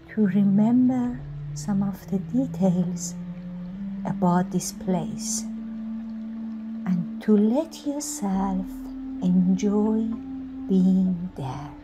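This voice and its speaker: A woman guides a scripted meditation at an extremely slow pace. She gives soothing instructions in short phrases, leaving pauses between them. Music plays clearly behind her voice, which sits at a medium pitch.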